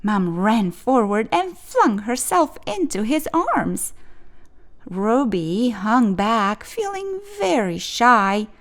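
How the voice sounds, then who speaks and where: A woman reading a children's picture book aloud as a storyteller, with expressive, clearly phrased narration. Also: a little high, takes it slowly.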